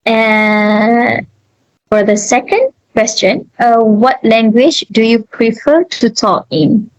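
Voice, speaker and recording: Slow, hesitant woman interviewer. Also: a little high, no echo, rough recording. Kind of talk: class interview.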